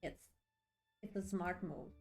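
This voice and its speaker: A woman's voice: lowish voice, slow, a little mellow. A woman chatting casually on a game livestream, a bit sleepy, repeating phrases for emphasis. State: a bit sleepy after a long day. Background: background music.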